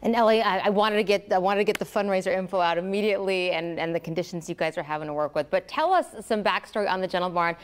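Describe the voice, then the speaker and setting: A woman's voice: medium pitch, fast, hardly any pauses, smooth. A woman news anchor in a TV studio, asking a remote guest questions in an encouraging way.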